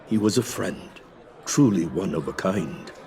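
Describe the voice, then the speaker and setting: An actor playing a grave, fearful conspirator in a video game, speaking formally and with quiet urgency. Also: male voice, sits low, animated, measured pace. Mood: grave, wary.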